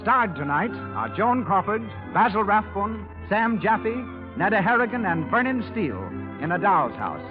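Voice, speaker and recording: A man's voice: sits high, very animated, normal speed, music in the background. A man announcing on 1930s radio in a formal, polished, declamatory style. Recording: dull old 1930s radio recording.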